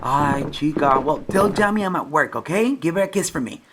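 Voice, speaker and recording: A woman's voice: low voice, expressive, fairly quick, noisy background. A woman voicing an art center owner who speaks firmly and drops in Spanish asides. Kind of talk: audio drama acting.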